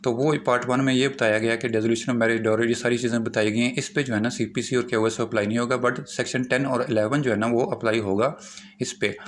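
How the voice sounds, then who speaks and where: A man with a Pakistani accent gives an informal law lecture, explaining in a repetitive, step-by-step way and reading out legal clauses. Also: medium pitch.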